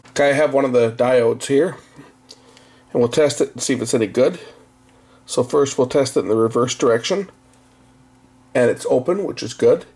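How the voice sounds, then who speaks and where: A man's voice: medium pitch, a little mellow, slightly rough. A middle-aged man giving a casual, conversational hands-on repair commentary, explaining as he works at an indoor bench. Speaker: repair video host. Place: indoor workbench. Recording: noisy room recording.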